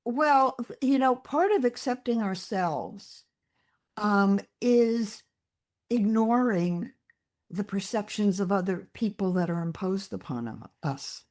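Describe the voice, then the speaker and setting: An older woman speaking as a guest psychologist, earnest and reflective, gently teaching as she talks. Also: medium pitch, expressive, slow. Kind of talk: interview conversation.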